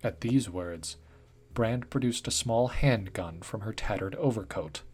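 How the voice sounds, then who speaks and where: Guy reading a spooky story out loud, steady and dramatic. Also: fairly low pitch, measured pace. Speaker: narrator. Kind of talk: scripted story narration.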